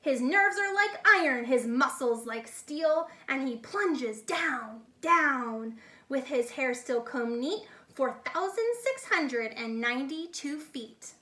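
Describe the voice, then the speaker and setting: A woman reads aloud to young children in a high, bright voice. Her delivery is slow and deliberate but animated, like a teacher sharing a picture book and pausing to explain things to her listeners.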